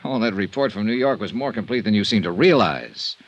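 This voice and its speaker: Casting: a jaded, hard-boiled American insurance sleuth, old-time radio noir, with widely moving pitch. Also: male voice, animated, a little fast, lo-fi sound. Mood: accusing, sardonic. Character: cynical, world-weary hard-boiled male insurance investigator. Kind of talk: old-time radio detective drama, noir narration and dialogue. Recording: vintage radio recording, faint music.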